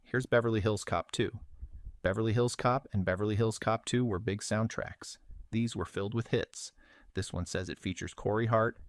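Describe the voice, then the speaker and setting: A man's voice: on the low side, no room sound, studio quality. A middle-aged man hosting a casual show-and-tell vlog, narrating in an informal way. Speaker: video host.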